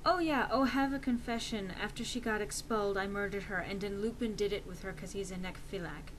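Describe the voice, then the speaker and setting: A woman's voice: mid-range voice, fairly quick, close to an everyday voice. A woman voicing a cheerful, nonchalant teenage goth girl best friend in a story reading, perky, breezy and slangy. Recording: noisy amateur home recording.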